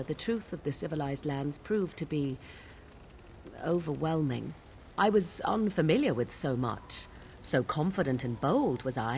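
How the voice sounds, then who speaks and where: A woman's voice: on the low side, a little mellow, a little hiss or hum. A woman playing a proud, sardonic young witch in a video game, speaking in formal, archaic, disdainful phrases. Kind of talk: video game dialogue acting.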